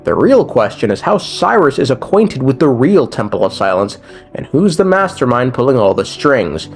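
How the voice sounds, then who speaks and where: A man with a slightly high, very animated voice recaps a video game storyline over light music. He reads casually from a script and drops in slangy, irreverent asides.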